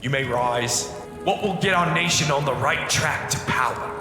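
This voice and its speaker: A man's voice: a little high, moderate pace. An actor playing a proud, weary fantasy king who speaks in grand, formal, archaic phrases for an audio drama. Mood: grave, commanding. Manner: formal archaic speech, declamatory. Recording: loud music over the voice.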